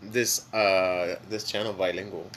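Bright-voiced man with a Mexican accent. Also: low-pitched, unhurried, slight background noise. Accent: Mexican Spanish. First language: Spanish. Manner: relaxed rambling, frequent fillers and restarts. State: high. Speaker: podcast co-host. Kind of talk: casual chat.

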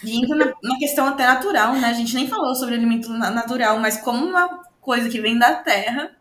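A Brazilian woman with a hoarse, scratchy voice chats casually as a podcast co-host. Her pitch sits a bit high, and the room around her sounds echoey. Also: brisk, a bit bright. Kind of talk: casual chat.

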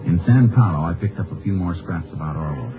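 Texas Ranger: low, American, laconic, folksy, unruffled and shrewd. Vintage radio Western sound, narrow band, music under. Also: male voice, expressive, quick pace. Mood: matter-of-fact narrating. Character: calm, shrewd male Texas Ranger posing as a drifter. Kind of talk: 1950s radio drama acting with first-person narration. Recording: muffled old-time radio recording with music.

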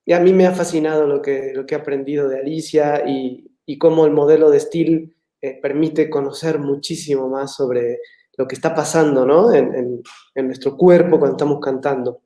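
Guy hosting a live stream chat, reads viewer hellos, kinda high voice. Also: fairly even intonation, moderate pace, mellow. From Latin America, living in Mexico. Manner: friendly, reads out viewer greetings. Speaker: host of a live online talk. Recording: echoey room.

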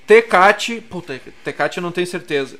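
A man's voice: high voice, animated, moderate pace, fairly bright tone, soft background music. A man with a Porto Alegre accent, co-hosting a podcast and chatting casually over beer, eagerly running through lists of brands. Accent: Porto Alegre Portuguese. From southern Brazil. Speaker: podcast co-host.

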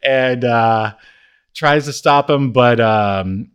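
A man co-hosting a podcast, casually recapping a TV comedy episode with halting delivery and acted-out quotes. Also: mid-range voice, clear, resonant tone. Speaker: podcast co-host.

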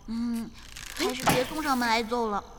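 A woman's voice: on the high side, normal speed, close to an everyday voice. A woman voicing a timid but indignant little schoolboy in an audio drama, muttering hesitantly to himself. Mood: hesitant, scheming. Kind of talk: Chinese audio drama acting. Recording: reverberant, noisy with clicks and crickets.

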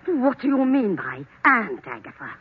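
A woman's voice: a little high, sing-song, normal speed, a little hiss or hum. A woman playing a sour, hot-tempered rich old aunt in radio drama style, curt and scolding. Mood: stern, indignant. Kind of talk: 1940s radio drama acting. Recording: muffled old radio recording.

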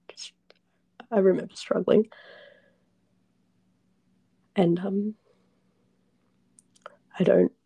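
A shaken woman speaks in a hushed, very breathy whisper with a gravelly edge. She halts and restarts often, and the sound is close and dry. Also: fairly low pitch, dry recording, poor audio. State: shaken and trembling. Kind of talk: whispered confessional conversation.

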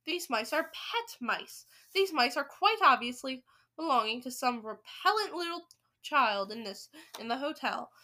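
A woman's voice: sits high, animated, unhurried, sharp tone, full character voice. A woman voicing an imperious, scheming witch leader in a storybook, with a commanding, haughty manner. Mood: commanding, irritated.

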